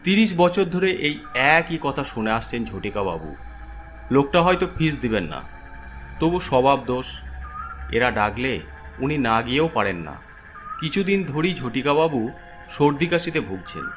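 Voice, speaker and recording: A man's voice: mid-range voice, sing-song, a little slow, long pauses, fairly bright tone. Man narrating horror with music behind. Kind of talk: dramatic scripted horror story narration. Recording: music under the voice.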